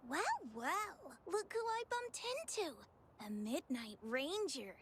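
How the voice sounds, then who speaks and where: An actress voicing a perky, teasing young antiques expert in a video game, playful and chirpy. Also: female voice, sits high, big pitch swings, a little slow, a little hiss or hum.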